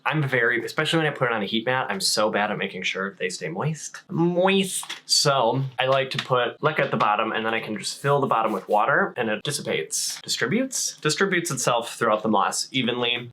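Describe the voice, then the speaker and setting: A man's voice: medium pitch, pushed and bright, a little hiss or hum. A man chatting casually as a plant vlogger, playful and self-deprecating, drifting into rambling asides. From Wisconsin, United States. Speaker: plant vlogger and shop owner. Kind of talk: casual how-to vlog chat.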